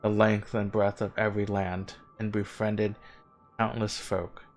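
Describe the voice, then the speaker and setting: An actor playing the courteous, wistful spirit of an ancient man in a video game cutscene, speaking in formal, measured, gently wry phrases. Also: lowish voice, little pitch movement. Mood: warm reverence. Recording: game dialogue with music underneath. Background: background music.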